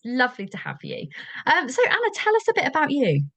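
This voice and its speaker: A woman's voice: high-pitched, wildly swooping pitch, fairly quick, a bit bright, dry recording, lo-fi sound. A chatty woman hosts a podcast interview, her voice bouncing up and down as she talks. She laughs easily and keeps things casual. The sound is close and dry, with no room around it. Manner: bubbly, chatty, laughs often. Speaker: podcast host.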